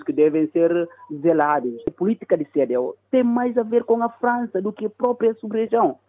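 Husky high-pitched man on muffled line. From Guinea-Bissau. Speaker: political commentator interviewed. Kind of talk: interview analysis. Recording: muffled, phone-like interview line.

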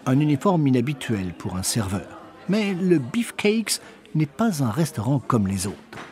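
A man's voice: medium pitch, very animated, a little slow, a little mellow. A man narrating a reportage from a prepared script. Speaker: documentary narrator.

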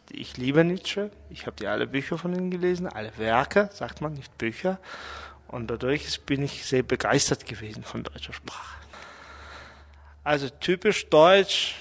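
A middle-aged man from Mongolia, speaking with a Mongolian accent and talking thoughtfully about his life, pausing as he searches for words. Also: medium pitch, a bit bright, long reverb tail. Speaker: interviewee. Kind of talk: portrait interview, personal reflections.

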